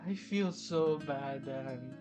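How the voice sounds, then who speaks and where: Let's-play commentator: informal, brief low-key remarks, game music loud in the mix. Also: slow pace. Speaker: gaming host playing and reacting. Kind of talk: casual gameplay commentary.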